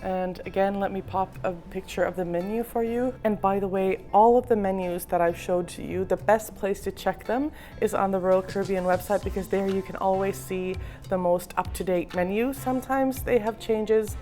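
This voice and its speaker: A woman with a husky voice from a slightly sore throat, giving a relaxed walking tour of a cruise ship with frequent ums. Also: medium pitch, moderate pace, hardly any pauses, soft background music. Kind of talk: casual walking tour narration. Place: indoors, moving through a cruise ship.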